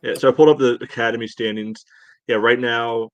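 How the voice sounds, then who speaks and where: A man's voice: medium pitch, fairly even intonation, a little fast, no echo, lo-fi sound. A man co-hosting a casual sports talk show, hesitant and thinking aloud as he goes. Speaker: sports podcast co-host.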